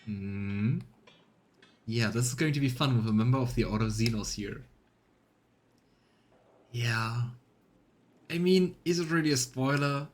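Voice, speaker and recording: A man's voice: mid-range voice, unhurried, long pauses. A man who streams games, reading out narration and adding casual commentary as he plays. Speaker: let's play streamer.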